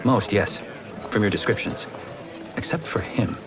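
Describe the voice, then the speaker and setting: Man playing an earnest, low-voiced young champion. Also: unhurried, music in the background, natural voice. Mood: curious. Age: young adult. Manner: earnest, respectful. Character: earnest, polite young farmer turned champion fighter. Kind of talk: dramatic video game acting.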